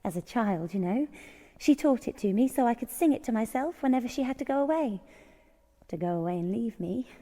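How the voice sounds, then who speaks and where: An actress playing a bold, cunning young kitchen maid in a horror audio drama, brisk, commanding and needling. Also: female voice, a little high, animated, dark tone. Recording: long echoing hall reverb.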